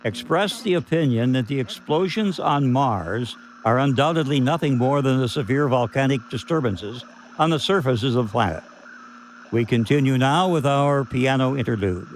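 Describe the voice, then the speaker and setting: Man as composed, formal radio news announcer, mid-pitched. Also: slight background noise, lightly acted, low-quality recording. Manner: measured, formal, grave. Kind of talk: old-time radio news bulletin acting.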